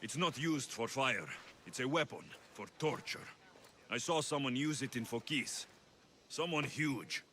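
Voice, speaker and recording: An actor voicing a confident, protective mercenary in a video game, firm and direct. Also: male voice, mid-range voice, expressive, a little slow, sharp tone, slight background noise. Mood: grave. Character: confident Greek mercenary man, protective, blunt, calm. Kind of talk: video game cutscene acting. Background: background music.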